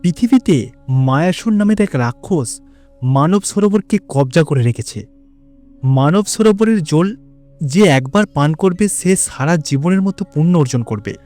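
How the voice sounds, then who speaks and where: A man playing a stately, fatherly god in a cartoon, speaking in a measured, solemn, instructive manner. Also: fairly high pitch, expressive, warm tone, soft background music.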